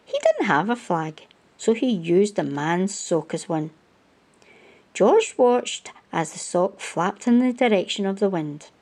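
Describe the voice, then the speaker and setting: A woman hosts a storytime, reading a picture book to children. Her voice is soft-edged and mellow at a medium pitch. She moves slowly through the sentences, with lively rises and falls in her storyteller phrasing.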